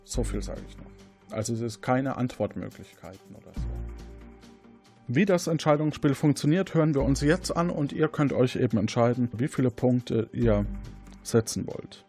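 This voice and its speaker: A man's voice: medium pitch, expressive, normal speed, warm tone, soft background music. A man hosting a quiz, moderating a game show and explaining questions and points.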